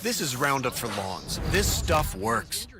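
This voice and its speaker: Gravelly, animated male advert announcer. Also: a little high, unhurried, music in the background. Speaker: commercial announcer. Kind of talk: emphatic product advert.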